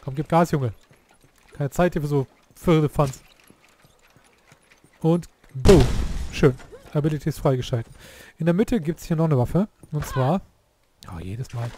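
A hoarse man giving casual, excitable commentary while playing a game, often exclaiming and swearing at what happens. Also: a little high, measured pace, pauses often, a little hiss or hum. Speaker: let's play youtuber.

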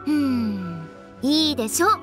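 A woman's voice: a little high, animated, unhurried. A woman playing a playful, shrewd young funeral director in an anime game, with teasing, sing-song, probing delivery. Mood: sly. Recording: background music under voice.

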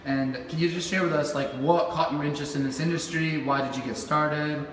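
A man's voice: a little high, fairly even intonation, brisk. A man acting as interviewer, asking questions in a conversational way. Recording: echoey room, long reverb.

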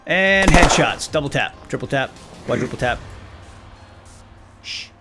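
A man's voice: medium pitch, lively intonation, a little slow, over loud music. A man doing casual, playful let's play commentary, talking to himself and his viewers with exclamations. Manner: playful, self-chatting, exclaiming. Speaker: host of a gaming series.

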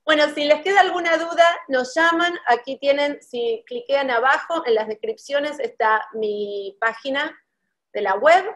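Bubbly high-voiced woman host. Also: fairly bright tone. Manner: bubbly, upbeat, quick. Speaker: wedding planner host. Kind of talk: promotional video call chat. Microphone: video call webcam.

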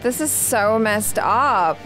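A woman's voice: on the high side, expressive, takes it slowly, rough recording. A woman giving blunt, slangy, casual commentary as co-host of a game reaction show. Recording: loud game soundtrack behind. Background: video game music and sound.

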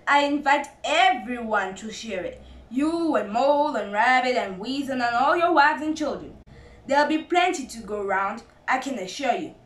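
A woman voicing a sly, confident, gallant father fox in a storybook, with assured, courtly and deliberate phrasing. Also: on the high side, lively intonation, a bit bright, echoey room, far from the mic. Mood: generous.

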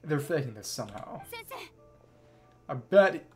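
A man's voice: mid-range voice, very animated, unhurried, a little mellow, soft background music. A man giving casual livestream commentary on a game, thinking aloud in clipped fragments and reading bits of dialogue. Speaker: livestreamer, virtual avatar host.

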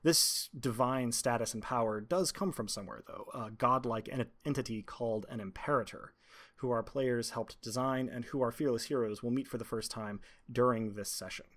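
A man's voice: medium pitch, fairly bright tone. Articulate man introducing a tabletop game. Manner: articulate, measured, prepared delivery. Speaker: game master and podcast host.

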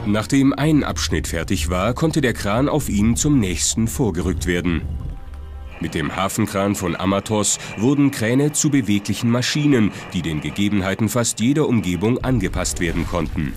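A man narrates a documentary in with a low voice. His delivery is scripted, measured and dramatic, and music plays clearly behind him. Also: lively intonation, a little mellow. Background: prominent background music.

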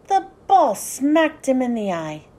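High, lively woman reading a storybook. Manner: animated, sing-song, deliberate phrasing. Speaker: children's book read-aloud host. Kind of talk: expressive storybook narration for young children.

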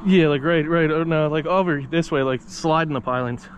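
A man fishing from a boat at night, talking excitedly and swearing as he fights fish, recorded on an action camera. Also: a little high, normal speed. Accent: Floridian American. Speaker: angler filming his fishing trip. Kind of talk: excited casual fishing chatter. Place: small boat on the water at night. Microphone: action camera worn on the body. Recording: noisy outdoor action-camera audio.

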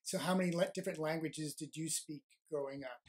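An interviewer speaks at a middle pitch and asks short, open questions. Between answers the voice gives quiet murmurs of agreement. The pace is calm, as in an oral history recording. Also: fairly quick. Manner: brief prompts and soft backchannels.

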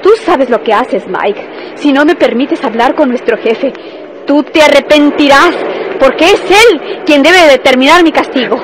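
Casting: defiant captive woman in crime radionovela, high pitch, insistent delivery, noisy old recording. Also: measured pace. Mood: defiant pleading. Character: defiant captive gangster's woman accused of betrayal. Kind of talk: Spanish-language radionovela acting.

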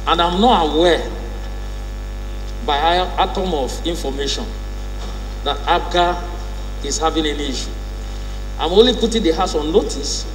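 A man with a Nigerian accent raising a formal point of order in parliament, deliberately, in short broken phrases. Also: on the high side, a bit bright. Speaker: lawmaker, minority leader. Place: large indoor legislative chamber. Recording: echoey hall with mains hum.

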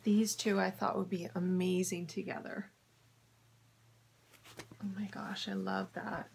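A woman casually showing fabric in her home sewing studio, her voice crackly from a cold, often dropping to a soft whisper. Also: mid-range voice, flat delivery, measured pace, creaky. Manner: soft-spoken, drifts into whispers when admiring fabric. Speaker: sewing vlogger. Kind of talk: casual fabric haul chat.

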